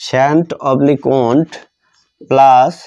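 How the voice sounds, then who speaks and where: A Bengali-accented man gives a grammar lesson at a very unhurried pace. His voice is mid-pitched and soft-edged, dark in tone. He dictates piece by piece, repeating phrases as he writes them out. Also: steady tone, highs cut off. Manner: deliberate, repetitive, spelling out each phrase. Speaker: English grammar teacher.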